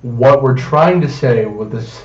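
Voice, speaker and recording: A man's voice: medium pitch, unhurried. A young man co-hosting a casual gaming podcast, talking with swearing and hyperbole. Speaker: podcast co-host. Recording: distant echoey dull mic, noisy room.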